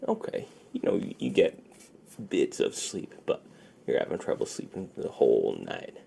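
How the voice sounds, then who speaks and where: Very gravelly, low-voiced ASMR man. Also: huge pitch swings, normal speed, mellow, slight background noise. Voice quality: gravelly, low, often breaking into near-whisper. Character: shady, friendly underground ASMR dealer. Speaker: ASMR roleplay performer. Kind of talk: soft-spoken ASMR roleplay.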